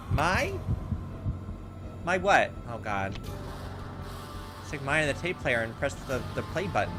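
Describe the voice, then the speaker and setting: A man giving casual, chatty commentary on a video game, reading its text aloud and talking back to it. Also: on the high side. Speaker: gaming video host. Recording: music behind the voice. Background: video game music.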